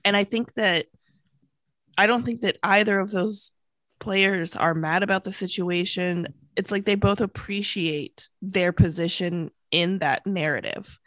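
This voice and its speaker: A woman's voice: medium pitch, fairly even intonation, unhurried, a bit bright, no echo. Woman podcast host fighting a cold. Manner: chatty, laughs easily. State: getting a cold, losing her voice. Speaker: podcast host, sports beat reporter. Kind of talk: casual sports podcast chat.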